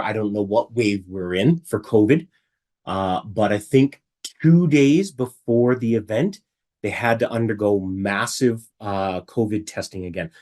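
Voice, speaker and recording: Raspy-voiced man presenting from home. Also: lowish voice, darkish tone. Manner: chatty, asks rhetorical questions. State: raspy voice from illness. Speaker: webinar presenter. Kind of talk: casual presentation.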